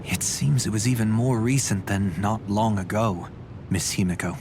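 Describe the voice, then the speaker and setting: Guy playing a serious polite gentleman in a game, guarded n formal, loud rumble behind him. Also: lightly acted. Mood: wary. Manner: formal, measured. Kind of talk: video game voice acting.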